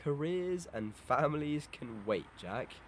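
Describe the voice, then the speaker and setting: A man's voice: expressive, slow. A young man playing a carefree, fun-loving teenage lad who talks in a casual, matey way in an amateur audio drama. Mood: defensive, carefree. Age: young adult. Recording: noisy amateur recording, environmental rumble.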